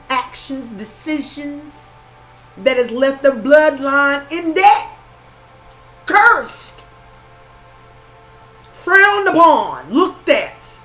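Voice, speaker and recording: A woman's voice: fairly high pitch, a bit bright, rough recording. A woman with a Southern accent doing an informal tarot reading, repeating herself and calling the listener honey. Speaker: spiritual reader.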